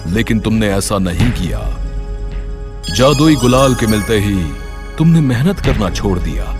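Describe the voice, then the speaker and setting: A man voicing a grave talking forest tree that admonishes in a measured way in an animated fairy tale. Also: on the low side.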